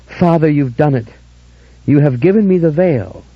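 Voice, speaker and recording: A man voicing a fervent, ecstatic young woman who cries out in a high, shrill voice. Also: animated, moderate pace, breathy edge, dry recording. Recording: dull lo-fi audiobook recording.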